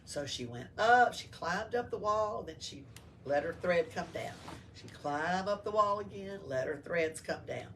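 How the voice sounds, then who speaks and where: A woman's voice: on the low side, lively intonation, measured pace, fairly bright tone, distant mic. A woman reading a story aloud to children as a library storyteller, explaining it in simple words. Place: library room. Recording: faint background noise.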